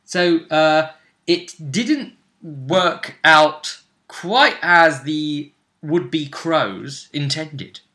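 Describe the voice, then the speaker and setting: A man with a bright, forward voice recaps a tabletop role-playing campaign to a co-host. His pitch is on the high side and moves around a lot. He tells it casually and haltingly, slipping into acted-out quotes. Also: lively intonation.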